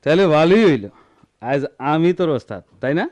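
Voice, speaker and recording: Radio drama rogue male actor: young crooked workman, mocking, sly, rural Bangladeshi accent, highly animated delivery. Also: fairly quick, lightly acted. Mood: teasing. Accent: rural Bangladeshi dialect. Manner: sly, teasing. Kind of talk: Bengali radio drama acting.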